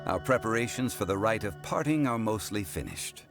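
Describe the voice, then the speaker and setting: A man playing a calm, refined, world-weary gentleman sage in a video game, speaking in a measured, formal way. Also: fairly low pitch, vocal fry, over loud music. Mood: satisfied, calm.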